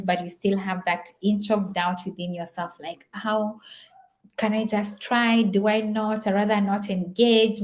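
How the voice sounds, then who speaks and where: A woman's voice: mid-range voice, a little mellow, a little hiss or hum, low-quality recording. A young woman whose first language is Swahili, talking in a casual, reflective vlog, chatty and full of fillers and repeats. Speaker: vlogger.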